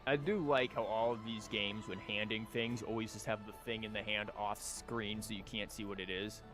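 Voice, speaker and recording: A man's voice: on the low side, steady tone, moderate pace, a bit bright. A man doing casual live game commentary as a streamer, with wry, rambling asides. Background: game music.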